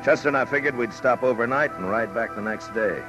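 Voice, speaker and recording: A man's voice: mid-range voice, highs cut off, close to an everyday voice. A man acting a stern, weary frontier lawman who narrates his story tersely in a 1950s radio western. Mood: easy, matter-of-fact. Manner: terse, grave, deliberate storytelling. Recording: vintage 1950s radio recording with music.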